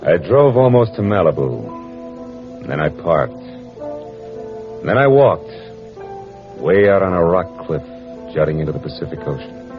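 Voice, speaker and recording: An actor playing a world-weary, wry American private detective in old radio noir style, talking in clipped slang. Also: male voice, low-pitched, sing-song, measured pace, long pauses. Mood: somber narrating. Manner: hard-boiled, clipped slang. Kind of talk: 1940s radio noir acting and first-person narration. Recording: dull old radio recording with music.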